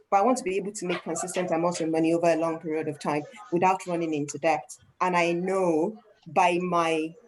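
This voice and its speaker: A woman's voice: lowish voice, rough recording. A woman with a Nigerian accent, talking through her small business in a casual group discussion, often restarting her sentences. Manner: thoughtful, restarts often, laughs easily.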